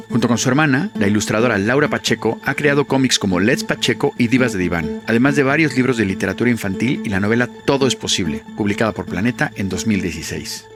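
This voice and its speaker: A man hosting a podcast, reading a scripted introduction in a measured, announcer-like way before interviewing his guest. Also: medium pitch. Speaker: podcast host.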